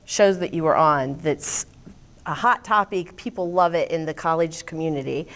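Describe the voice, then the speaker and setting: Woman, talk show host, lowish voice, casual chat, quick affirmations. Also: fairly bright tone.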